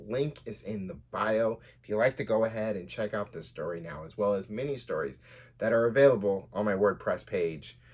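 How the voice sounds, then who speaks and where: A man hosting a storytelling podcast as a writer, moving between casual chat and reading from their own fiction. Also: on the low side.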